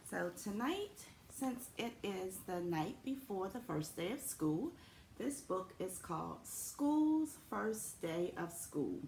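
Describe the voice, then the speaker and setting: A woman, an elementary school principal, reading a children's story aloud in a deliberate, expressive way, with simple friendly asides for young listeners. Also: fairly low pitch, vocal fry. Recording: roomy echo with faint background noise.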